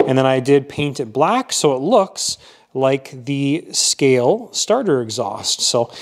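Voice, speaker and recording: A man's voice: medium pitch, very animated, takes it slowly, background noise. A man casually narrating his model aircraft build in a workshop, thinking aloud with frequent fillers.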